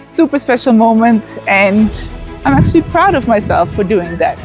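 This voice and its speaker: A woman in her thirties, raised in the Netherlands, narrating a casual travel vlog outdoors at an event venue, chatty and excited, with fillers and restarts. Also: fairly high pitch, moderate pace, soft background music. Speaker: vlogger.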